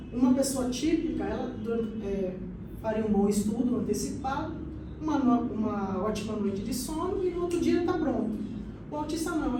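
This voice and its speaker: A woman in her late thirties from São Paulo state, earnestly telling her life story as a podcast guest, often saying "então, assim". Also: on the high side. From São Paulo state, Brazil. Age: 30s. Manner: earnest, explanatory, many "então, assim" fillers. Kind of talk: personal testimony in casual chat. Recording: echoey room, distant mic, noisy.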